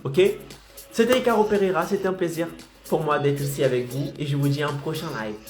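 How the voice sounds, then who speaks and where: Insistent coach with a slight cold. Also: male voice, a little high, a little fast, roomy sound, soft background music. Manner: insistent, keeps checking in with "d'accord?" and "ok?". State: has a slight head cold. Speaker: marketing coach for freelancers. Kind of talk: motivational live stream talk.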